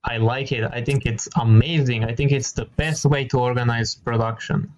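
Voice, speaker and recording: A man from Croatia debating on a video chat, calm and confident, reeling off data with dry sarcasm. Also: mid-range voice, normal speed, low-quality recording.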